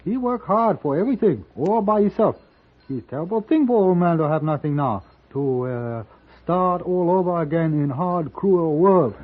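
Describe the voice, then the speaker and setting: A tinny 1950s radio recording carries an earnest Chinese servant. His voice is high and breathy, with lively swings, a Chinese accent and polite broken English. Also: moderate pace. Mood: earnest, sorrowful. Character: earnest, deferential Chinese hotel servant man, pleading for uncle. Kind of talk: 1950s radio drama acting.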